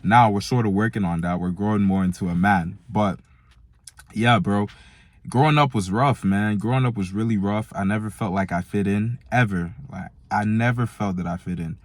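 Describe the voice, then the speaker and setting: Deep-voiced young American man, slangy vlogger. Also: moderate pace, no echo. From Nigerian-born, grew up in America from age four. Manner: slangy, direct address to young men, "bro" and "man". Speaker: motivational vlogger. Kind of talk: casual motivational vlog monologue.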